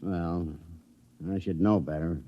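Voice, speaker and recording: A man's voice: low voice, fairly even intonation, slow. An actor playing a weary, blunt backwoods father with a rural American accent and a folksy, laconic drawl in a 1950s radio drama. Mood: wheedling, grudging. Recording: dull vintage radio recording.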